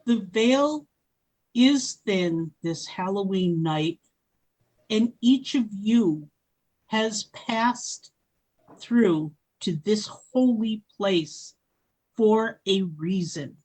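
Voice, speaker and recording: A woman playing a solemn, ageless female spirit who guides travelers, in a radio play, speaking in measured pronouncements. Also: medium pitch, lively intonation, darkish tone, rough recording. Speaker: wise spirit. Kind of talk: 1940s-style radio drama acting.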